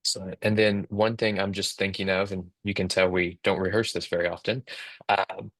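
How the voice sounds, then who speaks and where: A man in his thirties talks through steps in a casual, instructional way. His voice sits low and moves little in pitch. The sound is dry, as from a close mic on a video call. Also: little pitch movement, moderate pace, fairly bright tone, dry recording. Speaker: co-host, app company team member. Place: indoors on a video call, virtual background.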